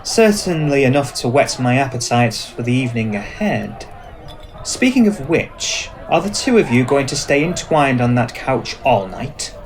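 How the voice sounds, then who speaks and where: A man playing an ancient, suspicious male vampire in an ASMR roleplay, speaking in formal, measured, commanding phrases. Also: medium pitch, very animated, rough recording. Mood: dryly pleased. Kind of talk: scripted ASMR roleplay acting.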